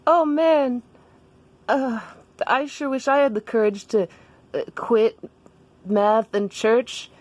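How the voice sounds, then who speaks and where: Woman putting on a high-voiced, timid earnest boy. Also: steady tone, slow pace, pauses often. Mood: wistful, awed. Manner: hesitant, wide-eyed, awed. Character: timid, earnest, church-bound boy, school age. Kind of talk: comic storytelling dialogue.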